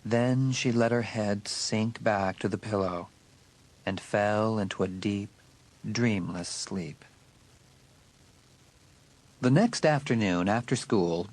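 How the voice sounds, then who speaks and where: A man narrating a horror audiobook in a dramatic, suspenseful way with deliberate emphasis. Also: on the low side, low-quality recording.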